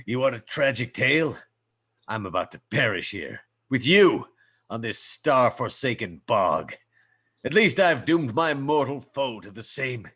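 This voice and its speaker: A man's voice: medium pitch, big pitch swings, a little slow, fairly bright tone, slightly rough, exaggerated voice. A man playing a haughty, melodramatic space hero in a comic sci-fi script read, with pompous, formal diction. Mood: self-pitying.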